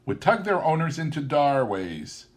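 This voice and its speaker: A man reading a classic story aloud as a narrator, with deliberate, theatrical and emphatic phrasing. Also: a little high, sing-song. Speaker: audiobook narrator.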